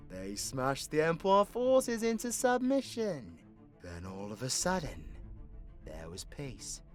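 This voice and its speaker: A man's voice: mid-range voice, wildly swooping pitch, takes it slowly, faint music behind. A man with a British accent playing a cocky, foul-mouthed, sarcastic supervillain who tells stories in an audio roleplay. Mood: storytelling, wry. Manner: cheeky, sweary, colloquial, theatrical swings into exclamation. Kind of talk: villain audio roleplay, rambling comedic storytelling.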